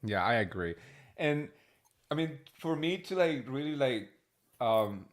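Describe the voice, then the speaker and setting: A man's voice: medium pitch, expressive, measured pace. A man in his late thirties, a podcast guest, chatting casually and rambling with many hesitations and fillers. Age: late 30s. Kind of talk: casual chat.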